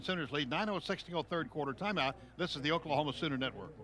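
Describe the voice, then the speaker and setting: A man with a somewhat high voice calls a live football game for radio. His delivery comes in short, clipped phrases that follow the action. Clear stadium noise sits behind him throughout the broadcast. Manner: clipped running description, excitable on big plays. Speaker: radio play-by-play announcer.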